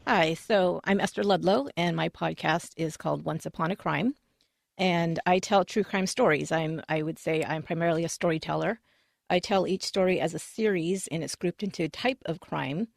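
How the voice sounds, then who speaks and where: A woman's voice: on the low side, normal speed, creaky, dry recording, studio quality. A woman podcast host describing her show in a roundtable discussion, chatty and often correcting herself mid-sentence. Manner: chatty, self-correcting, many fillers.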